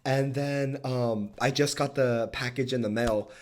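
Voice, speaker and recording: A man's voice: mid-range voice, fairly even intonation, measured pace. A man hosting a casual podcast chat, telling a story in a laid-back, chatty way and asking questions. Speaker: podcast host.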